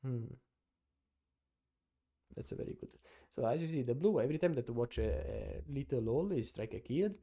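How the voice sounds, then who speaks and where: Italian man as a live-stream sports analyst: gravelly, mellow, halting non-native English. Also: medium pitch, animated. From Italy. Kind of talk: casual live-stream commentary.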